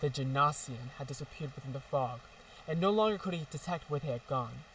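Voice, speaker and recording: A man's voice: on the high side, expressive, brisk, a bit bright, recorded from a distance, slight background noise, poor audio. Man narrating a fantasy story from afar. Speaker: narrator of audio drama. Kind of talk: scripted narration.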